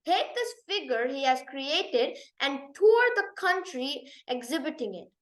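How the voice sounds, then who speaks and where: A woman's voice: sits high, sing-song, a little slow. A woman voicing a dignified, proud aging king in a storybook, speaking formally. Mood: proud, commanding. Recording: roomy, echoey sound.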